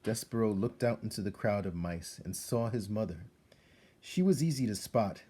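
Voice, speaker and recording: A man narrates a storybook in a low, dark voice with a husky grain. His delivery is measured and dramatic, as if drawing the listener into the tale. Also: expressive. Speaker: read-aloud narrator. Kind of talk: expressive scripted storybook narration.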